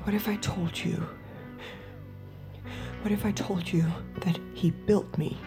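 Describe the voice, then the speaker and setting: A young woman with an American accent playing an earnest, secretive android in an audio drama, speaking in a hushed, halting and pleading way. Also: mid-range voice, steady tone, gravelly, over music. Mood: hushed, confessional. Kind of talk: naturalistic audio drama acting.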